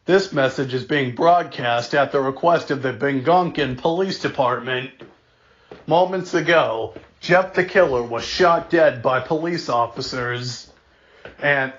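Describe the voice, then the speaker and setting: Man acting as slow, formal emergency alert announcer, dull audio. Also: fairly high pitch, a bit bright. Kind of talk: scripted emergency broadcast announcement.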